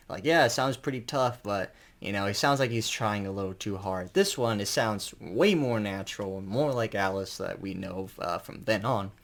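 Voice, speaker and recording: A man giving a casual, unscripted album review as an enthusiastic fan, rambling and colloquial, with some mild swearing. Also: medium pitch.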